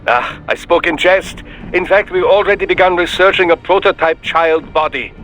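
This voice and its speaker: An actor playing an erudite, polite, dryly pragmatic scientist in a video game, speaking formally and precisely. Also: male voice, high-pitched, moderate pace, fairly bright tone, soft background music, low-quality recording. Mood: dry, measured.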